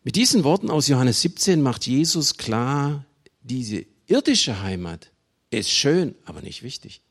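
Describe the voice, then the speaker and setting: Male preacher from Germany: creaky voice, swooping pitch, emphatic phrasing with pauses, speaking to a congregation. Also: very animated. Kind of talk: sermon to a congregation.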